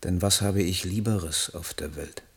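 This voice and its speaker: An actor playing an idealistic, embittered young poet in a literary radio play, declaiming solemnly and rhetorically. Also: male voice, low voice, measured pace, gravelly, husky, exaggerated voice. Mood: melancholy resolve. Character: idealistic, embittered young male German poet, brooding and impassioned.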